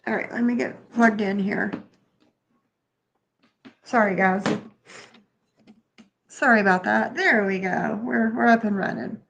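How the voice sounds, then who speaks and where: A woman's voice: medium pitch, unhurried, vocal fry, pauses often, a bit bright, highs cut off, slight background noise. A middle-aged woman with a stuffy nose, chatting casually on a home livestream and greeting viewers by name. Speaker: livestream host. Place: bedroom at home, then kitchen.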